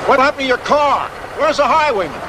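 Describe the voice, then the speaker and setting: A man's voice: extremely high pitch, big pitch swings, a little fast. A man playing a bossy, angry take-charge type in a TV action drama, barking blunt commands. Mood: angry, commanding. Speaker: actor in an action TV show. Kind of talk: screen drama acting. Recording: noisy outdoor TV soundtrack, engines.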